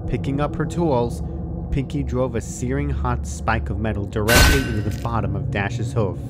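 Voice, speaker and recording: A man reading fan fiction aloud as a scripted, dramatic story narration. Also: medium pitch. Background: music.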